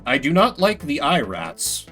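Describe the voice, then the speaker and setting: A man's voice: fairly high pitch, huge pitch swings, unhurried, sharp tone, faint music behind. A man giving casual, rambling commentary as he streams a video game, with playful mock-grandiose asides. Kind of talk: casual gameplay commentary, voicing game text.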